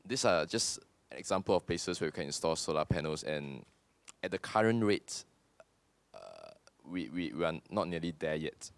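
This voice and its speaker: A young Singaporean man with a very deep voice explains points on a panel in a steady, measured way. His tone is smooth and resonant, and the recording is dry and close. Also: no echo. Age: 20s. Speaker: panel speaker. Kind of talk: panel Q&A answers.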